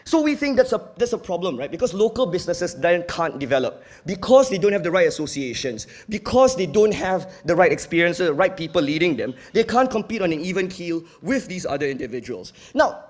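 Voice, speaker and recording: A man's voice: sits high, sing-song, brisk, hardly any pauses, darkish tone. A young man with a Singaporean accent giving a competitive debate speech in an earnest, emphatic, argumentative manner. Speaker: university debater.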